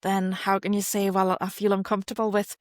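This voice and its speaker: A Geordie woman hosting a conversational interview. She rambles chattily, padding her questions with filler words, at an ordinary middle pitch in a dry, close recording. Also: little pitch movement, a little fast, dry recording. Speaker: interview host.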